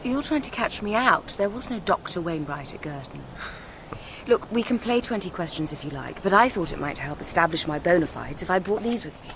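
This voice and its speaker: Young educated Englishwoman, lowish voice, articulate, teasing, confiding, radio drama, faint ambient noise. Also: lively intonation, brisk. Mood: candid. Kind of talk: naturalistic radio drama dialogue.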